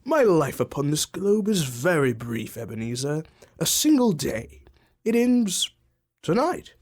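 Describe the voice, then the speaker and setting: An actor voicing a jolly, booming male Christmas spirit in a student radio drama. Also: very animated, measured pace, darkish tone. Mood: solemn. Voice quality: booming, laughing.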